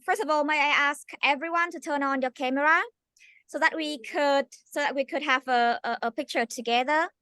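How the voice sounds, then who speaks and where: A woman hosts an online session in a polite, formal manner. Her high, bright voice sounds dry and close. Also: fairly quick, dry recording. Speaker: session host.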